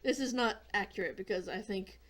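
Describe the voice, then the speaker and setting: A hoarse woman hosting a casual gaming video, chatting in a rambling way and often clearing her throat. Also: medium pitch, fairly quick. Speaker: gaming channel host.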